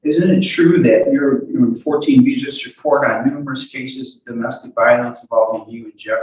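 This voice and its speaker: A man working as a lawyer in a courtroom, questioning in a halting, repetitive, insistent way. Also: medium pitch, darkish tone. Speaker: defense lawyer questioning a witness. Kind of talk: courtroom cross-examination. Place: indoor courtroom. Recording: echoey courtroom, distant microphone.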